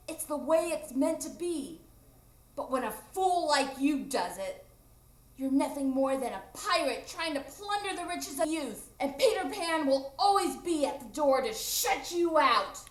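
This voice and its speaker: A woman's voice: sits high, expressive, measured pace, a bit bright. A woman playing a proud, defiant boy who never grows up, in a live radio drama, declaiming boastfully. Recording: echoey live stage room.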